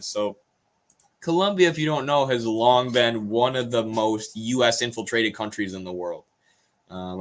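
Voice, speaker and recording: A man with an American accent hosting a political livestream, explaining news in an earnest, informal way with halting phrasing and some reading aloud. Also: mid-range voice, fairly bright tone.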